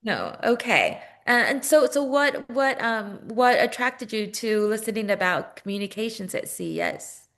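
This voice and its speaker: A woman's voice: a little high, big pitch swings, normal speed, fairly bright tone. A woman hosting a webinar over a video call, greeting and thanking each guest as they join. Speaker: webinar host.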